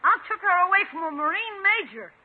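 Casting: cheeky kid nephew, very high lively voice, wisecracking, for vintage radio sitcom with thin sound. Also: fairly quick. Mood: teasing, brash. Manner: sassy, slangy. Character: wisecracking, brash young boy, the nephew. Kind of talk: 1950s radio sitcom acting. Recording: tinny 1950s radio recording.

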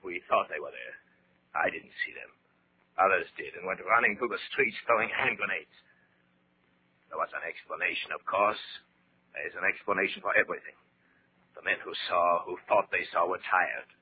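An actor playing a bullying, secretly terrified German officer in a 1940s radio horror drama, barking commands insistently. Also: male voice, sits low, normal speed, long pauses, close to an everyday voice. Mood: uneasy, explaining. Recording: scratchy, narrow-band 1940s radio recording.

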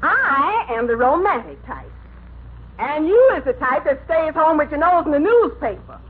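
An actress playing a romantic, dissatisfied, nagging wife in an old radio sitcom, plaintive and theatrical. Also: female voice, sits high, very animated, moderate pace. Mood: exasperated yearning. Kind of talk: 1940s-50s radio sitcom acting. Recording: tinny old radio recording, hiss.

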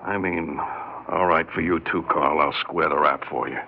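An actor playing a gruff but soft-hearted working cop in a 1960s radio drama, with an American accent and a plain-spoken, hesitant manner. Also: male voice, very deep voice, steady tone, normal speed, a little hiss or hum. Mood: gruffly kind. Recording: muffled old 1960s radio recording.

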